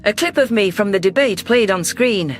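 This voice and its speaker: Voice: medium pitch, lively intonation, normal speed, faint music behind. A narrator reading a first-person science fiction story in a scripted, audiobook style.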